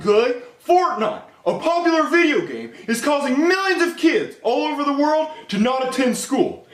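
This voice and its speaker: A man playing an excitable male breaking-news anchor in a comedy skit, delivering mock-serious bulletins with drawn-out words. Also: very high-pitched, full character voice. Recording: roomy echo with faint music.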